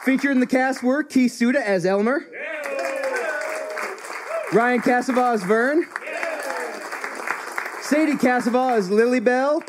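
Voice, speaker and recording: High-pitched man announcing over a noisy audience. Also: a little slow, long pauses, a crowd in the background. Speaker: cast member reading closing credits. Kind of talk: announcer sign-off at live radio theatre. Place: small live performance venue, audience behind. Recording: noisy live venue with audience.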